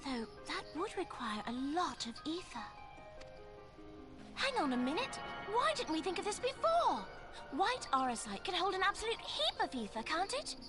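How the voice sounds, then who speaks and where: Bubbly young female scholar, high-pitched, swooping intonation, impulsive, video game, music underneath. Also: big pitch swings, normal speed. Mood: excited, eager. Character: excitable, forgetful, eager young female scholar. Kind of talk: video game cutscene acting.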